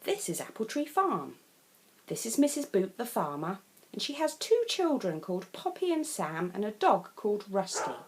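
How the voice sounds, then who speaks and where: Very animated English woman reading a storybook. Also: mid-range voice, moderate pace, slight background noise. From England. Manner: simple, clearly phrased, expressive. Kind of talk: children's storybook narration.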